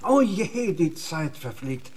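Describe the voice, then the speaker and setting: An actor playing a businesslike, folksy American tour manager in a radio play, brisk and colloquial. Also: male voice, on the high side, very animated, darkish tone, breathy edge, full character voice. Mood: brisk, placating.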